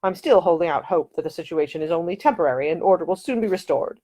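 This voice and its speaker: A woman's voice: lowish voice, lively intonation, fast, warm tone. A woman voicing a courteous, commanding older marquis in an audiobook. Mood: gracious. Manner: formal, gracious.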